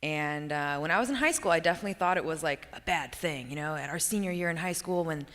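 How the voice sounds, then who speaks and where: American woman on a panel, chatty and casual, lowish voice, hall echo. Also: quick pace, a bit bright. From United States. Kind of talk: panel discussion at a conference. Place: large conference hall. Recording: long hall reverb.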